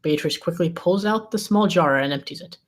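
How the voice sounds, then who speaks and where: A woman's voice: on the low side, a little fast. A woman reading a script's scene descriptions aloud as narrator at a table read.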